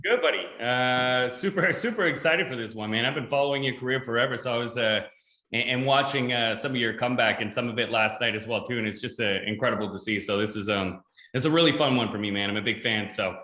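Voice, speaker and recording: A man in his thirties from Ontario hosts a casual interview. His voice is bright and forward, at a medium pitch, and he rambles eagerly with some self-deprecation. The room around him is makeshift and echoey. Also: fast. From Ontario, Canada. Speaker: podcast host. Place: games room in a rented house.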